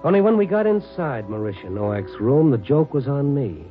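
A man's voice: mid-range voice, big pitch swings, moderate pace, lightly acted. An American man playing a world-weary, wry newspaper reporter in a clipped 1950s radio drama style. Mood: brooding narration. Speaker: newspaper reporter lead and narrator. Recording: tinny old radio recording with music.

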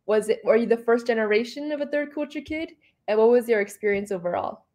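A woman's voice: fairly high pitch, quick pace, a little mellow. A woman hosting and moderating an online talk, asking questions in a friendly, polite way. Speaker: host and moderator.

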